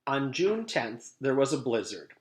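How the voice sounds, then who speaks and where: Male teacher, storybook read-aloud, lively pitch, echoey room. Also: a little slow. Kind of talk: reading a chapter book aloud to a class.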